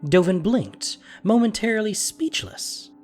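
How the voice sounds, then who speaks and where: A man narrating a scripted fantasy story in an expressive, dramatic way. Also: high-pitched, unhurried, faint music behind.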